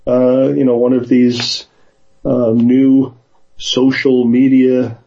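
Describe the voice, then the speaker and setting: A man in casual conversation, speaking in a hesitant, halting way, sometimes reading aloud. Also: medium pitch, little pitch movement, mellow, muffled recording. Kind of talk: casual conversation with some read-aloud passages.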